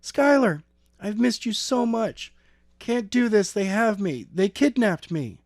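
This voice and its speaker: Man acting frightened captive girl, high pitch, urgent breathless pleading, audiobook character, dry recording. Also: animated, slow pace, dry recording, exaggerated voice. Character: frantic, frightened kidnapped girl pleading over phone.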